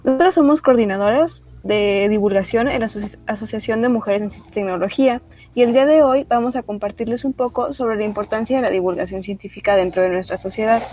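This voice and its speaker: A woman's voice: mid-range voice, quick pace, mellow, faint music behind. A woman co-hosting a podcast, steadily moderating the questions. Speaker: podcast co-host. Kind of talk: podcast interview chat. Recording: distant, muffled lo-fi mic.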